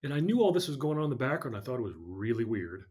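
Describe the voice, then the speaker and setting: A man's voice: medium pitch, big pitch swings, fast talker, darkish tone, husky. Earnest man telling his story. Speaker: podcast guest. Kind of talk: personal story in an interview.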